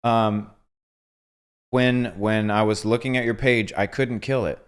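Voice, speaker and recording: An earnest young man with a somewhat low voice, chatting on a livestream. He tells a story with lots of quoted asides and animated turns. His delivery is casual and sincere. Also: unhurried, smooth. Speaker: host. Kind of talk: casual couple chat on a livestream.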